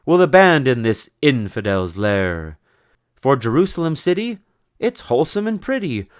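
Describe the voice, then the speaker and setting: A man's voice: medium pitch, sing-song, moderate pace, no echo, clean studio sound, pitched above the performer's own voice, lightly acted. A man voicing a brave, commanding knight in a children's storybook, declaiming heroically. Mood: triumphant.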